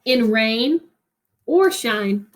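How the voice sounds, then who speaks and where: A woman reading a picture book aloud like a teacher, expressively, with explanatory asides and pauses for young listeners to answer. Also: on the high side, expressive, a little hiss or hum.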